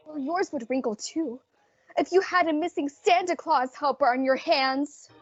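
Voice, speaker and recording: A woman's voice: high-pitched, normal speed, natural voice. A woman playing an earnest, frazzled department store advertising executive in an old-time radio drama. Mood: worried, wry. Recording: dry, dull lo-fi audio.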